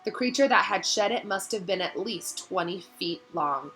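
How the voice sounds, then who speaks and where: A woman reads a novel aloud as an expressive storyteller. Her voice is bright and sits at a middle pitch, with dramatic, suspenseful phrasing. Music plays faintly behind her. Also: normal speed. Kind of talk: expressive read-aloud narration.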